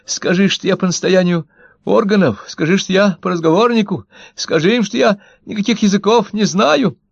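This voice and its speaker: A man voicing a frightened petty official who pleads in a high, panicky voice, on a dull, dry recording. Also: normal speed, gravelly, breathy edge, no echo, full character voice. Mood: pleading.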